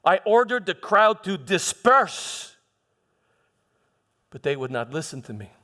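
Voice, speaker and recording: Guy acting as a proud roman governor, kinda high voice, halting storyteller, defensive, big echoey hall. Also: big pitch swings, bright, forceful tone, exaggerated voice. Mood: proud, defiant. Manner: halting, repeats words, theatrical storytelling. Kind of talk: first-person church dramatic monologue. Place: church, live dramatization. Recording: reverberant hall.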